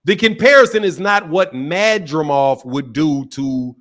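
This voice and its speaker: Male stream host, high-pitched, animated, slangy and profane, repeats himself, reacting to chat. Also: measured pace. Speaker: boxing talk show host reading chat. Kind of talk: casual live-stream chat.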